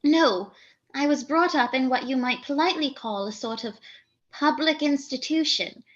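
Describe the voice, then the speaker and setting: A woman's voice: high voice, normal speed, dull audio, lightly acted. An actress playing a flighty, self-important young lady in a theatrical comedy, arch and declamatory. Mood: arch, confiding. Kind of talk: theatrical radio comedy monologue and dialogue.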